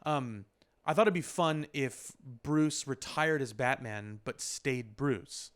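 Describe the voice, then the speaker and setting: Male podcast co-host, smooth clear mid-range voice, loose casual explainer. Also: mid-range voice, slow pace. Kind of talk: casual pop culture discussion.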